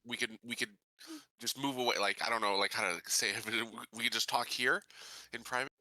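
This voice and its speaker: A man's voice: mid-range voice, fairly even intonation, a little fast, pushed and bright, dry recording, poor audio. A man playing a tabletop roleplaying game, speaking politely and earnestly in casual, improvised in-character dialogue.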